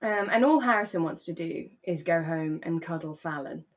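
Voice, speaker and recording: A woman co-hosting a podcast, recapping in relaxed, conversational chat and agreeing readily. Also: sits low, normal speed. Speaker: podcast co-host. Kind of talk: relaxed, casual chat recapping a radio drama.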